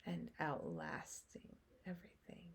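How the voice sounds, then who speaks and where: A woman guiding a meditation as a teacher, speaking in short phrases with long pauses and hushed endings. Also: sits low, big pitch swings, vocal fry, husky, whispered. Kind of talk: guided meditation, slow spoken instruction.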